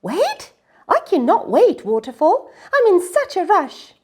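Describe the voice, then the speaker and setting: Excitable, eager little storybook girl played by a woman, high and very animated, urgent and pleading. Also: measured pace, heavily acted. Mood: urgent, impatient. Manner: urgent, exclaiming delivery. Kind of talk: children's storybook read-aloud.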